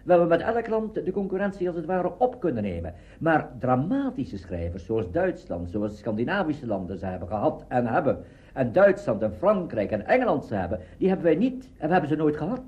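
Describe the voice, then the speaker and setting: A man's voice: fairly high pitch, sing-song, a little fast, darkish tone. A man speaking off the cuff in an interview, searching for his words and seeking agreement as he goes. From Netherlands. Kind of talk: spontaneous interview talk. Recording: dull lo-fi archive interview recording.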